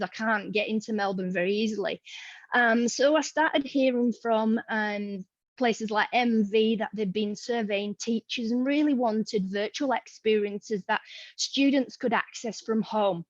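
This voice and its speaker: A woman's voice: mid-range voice, no room sound. Chatty Yorkshire woman on a video call. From Yorkshire, England. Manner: friendly and chatty, with ums. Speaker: museum education leader. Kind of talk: informal talk to colleagues. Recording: dry, muffled video-call audio.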